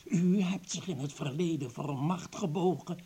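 An actor playing an embittered, grieving older professor in a radio play, husky and weighty, full of wounded accusation. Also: male voice, fairly high pitch, normal speed, warm tone. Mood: bitter, wounded. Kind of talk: Dutch radio play acting.

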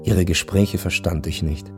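A man narrating a first-person literary radio drama in a measured, reflective way. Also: low-pitched, fairly even intonation, over music.

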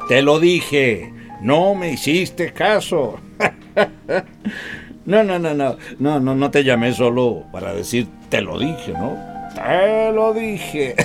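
A man's voice: medium pitch, big pitch swings, takes it slowly, faint music behind. An actor playing a smug, crafty middle-aged businessman father in a radio drama, with a Costa Rican accent and a needling, folksy manner. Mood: smug, amused. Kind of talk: radio drama acting, one side of a phone call.

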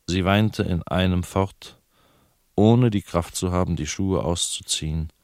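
A man narrating a novel in a measured, formal literary reading style. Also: low voice, darkish tone, no echo, clean studio sound.

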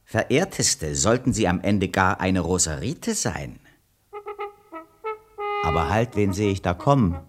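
Quick-witted jester, theatrical, playful, very animated pitch, fairy-tale radio drama. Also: male voice, music in the background. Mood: curious, playful. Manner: theatrical, declamatory, playful catchphrases. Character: cheeky, quick-witted male Kasper puppet-theatre clown.

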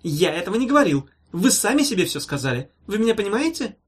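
Audiobook doctor: pompous, fussy, high-pitched, wheedling and sly, swooping pedantic patter. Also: male voice, very animated, quick pace, slightly rough, exaggerated voice. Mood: ominously evasive. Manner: wheedling pedantic patter, tutting, padded with stock phrases. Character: pompous, fussy, sly male medical professor, falsely soothing.

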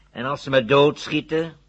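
An actor playing an absent-minded, excitable older male professor in a 1970 radio drama, muttering and dithering in a husky, creaky voice. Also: on the high side. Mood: worried. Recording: dull, noisy old radio recording.

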